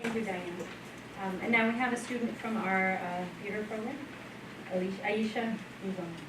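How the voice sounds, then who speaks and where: A woman's voice: mid-range voice, steady tone, normal speed, over a noisy crowd. A woman introducing student speakers at a school event in a plain, matter-of-fact way. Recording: echoey room, voice from far off, noisy.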